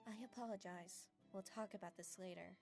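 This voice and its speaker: A woman voicing a gentle, earnest young fantasy heroine in an anime fan dub, speaking in a measured, hesitant way. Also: mid-range voice, steady tone, gravelly, soft background music, close to an everyday voice. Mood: mild reproach.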